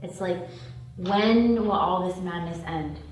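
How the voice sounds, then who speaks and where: A woman's voice: fairly low pitch, slow pace, a little mellow. A woman acting a stressed, principled young medical student who moonlights as a thief, at a screenplay table read. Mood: weary venting. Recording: very echoey room, distant, noisy mic.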